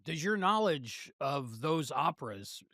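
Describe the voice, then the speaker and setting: Slightly higher-voiced man co-hosting a podcast. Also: sing-song, a little slow, bright, forceful tone, dry recording, clean studio sound. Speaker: podcast co-host. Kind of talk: casual podcast chat.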